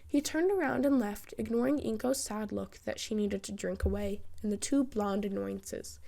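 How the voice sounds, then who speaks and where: A woman reading fan fiction as a narrator, in an expressive, wry storytelling style. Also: mid-range voice, normal speed, gravelly. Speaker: podfic narrator.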